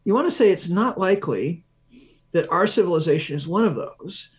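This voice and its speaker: A man's voice: high-pitched, expressive, fairly quick. A man speaking as an expert interview guest, explaining science step by step, thinking aloud and answering his own questions with examples. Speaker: research professor, interview guest.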